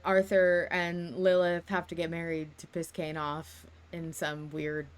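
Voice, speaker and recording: Lower-voiced woman hosting a fan podcast. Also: flat delivery, unhurried, very noisy. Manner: hesitant, many restarts, reads listener comments aloud. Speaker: podcast host. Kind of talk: casual analytical discussion.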